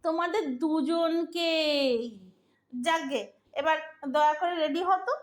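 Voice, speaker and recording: A woman playing an exasperated, sharp-tongued young married daughter in an audio drama, bossy and impatient, with a Bengali accent. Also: very high voice, steady tone, slow. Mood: teasing impatience. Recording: roomy, echoing audio drama recording.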